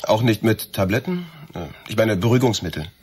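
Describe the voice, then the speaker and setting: Husky, lively junior detective. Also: male voice, lowish voice, a little fast. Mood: curious. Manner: colloquial, wry. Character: casual, wry male junior police detective. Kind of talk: German radio drama acting.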